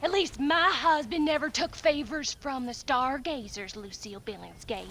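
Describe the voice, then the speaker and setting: A bitter frontier wife in a Western game. Her voice is piercingly high and sharp, full of scolding scorn, with faint noise behind it. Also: normal speed. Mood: angry, scolding. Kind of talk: comic video game cutscene acting.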